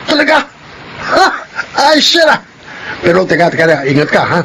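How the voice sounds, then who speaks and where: A chummy Filipino sidekick in a TV drama. His voice is high for a man with a gravelly creak. There is clear noise behind him. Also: sing-song, moderate pace, sharp tone, full character voice, rough recording. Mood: curious, teasing. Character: jovial drinking buddy and sidekick of thug. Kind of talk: Filipino television drama acting.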